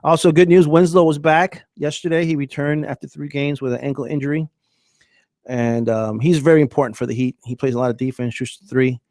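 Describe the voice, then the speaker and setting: A man's voice: on the high side, steady tone, fairly quick, darkish tone, dry recording. A man hosting a casual sports radio show, chatting loosely with fillers and reading ads live.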